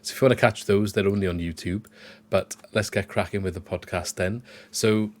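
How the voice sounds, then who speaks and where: A man hosting a casual football podcast, his voice croaky from illness, talking conversationally with frequent restarts. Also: fairly low pitch, fairly even intonation, fairly quick. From Wales. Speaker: podcast host.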